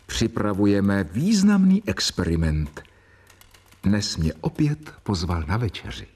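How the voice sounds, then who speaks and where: An actor playing a devoted assistant doctor in a radio play, narrating and talking in an earnest, measured, polite way. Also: male voice, lowish voice, very animated, darkish tone, slight background noise.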